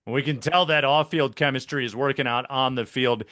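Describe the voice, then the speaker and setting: A man's voice: mid-range voice, fairly even intonation, normal speed, pushed and bright. A man hosting a sports interview show, with a polished, upbeat broadcaster delivery. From mainland United States.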